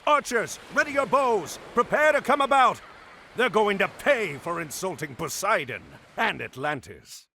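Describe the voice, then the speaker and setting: Middle-aged admiral hero, commanding, lively pitch, game cutscene, faint noise. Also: male voice, unhurried, heavily acted. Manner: commanding, gives orders.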